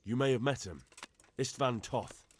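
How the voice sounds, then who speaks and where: A man's voice: mid-range voice, moderate pace, husky, close to an everyday voice. An actor playing an earnest young man bringing urgent news in a game cutscene, plain-spoken. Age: young adult. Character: earnest young man, a lord's son and messenger. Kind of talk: video game cutscene acting.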